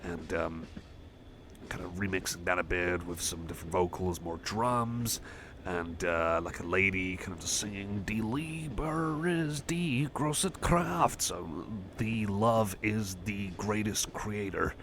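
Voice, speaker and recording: A man playing a shy, friendly cyborg music fan, chatting softly and hesitantly in a casual ASMR roleplay. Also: low-pitched, slow pace, noisy background. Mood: shy, enthusiastic. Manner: hesitant, rambling, self-effacing.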